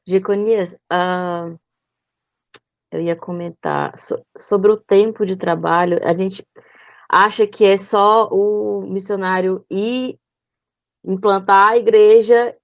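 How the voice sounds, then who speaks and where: A woman's voice: medium pitch, steady tone, slow pace, no room sound, dull audio. A woman interviewing a missionary in a conversational church program, affirming him and asking follow-up questions.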